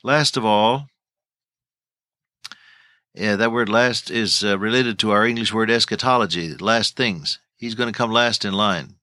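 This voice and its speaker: A man's voice: lowish voice, measured pace, bright, forceful tone, dry recording, studio quality. An older man teaching the Bible on radio, telling folksy stories and chuckling as he goes.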